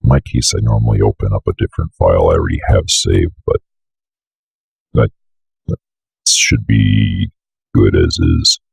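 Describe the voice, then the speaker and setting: Very deep, gravelly man talking through software. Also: moderate pace, long pauses, no room sound. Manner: rambling, thinking aloud, mildly profane. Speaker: tutorial presenter. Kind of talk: casual screen-share software walkthrough. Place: indoors at a computer desk.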